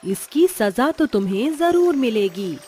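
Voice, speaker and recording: Woman as a high-voiced, commanding mother goddess. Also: sing-song, measured pace, a little mellow, soft background music. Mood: stern. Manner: stern, commanding, declamatory. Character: stern, righteous mother goddess from a magic tree.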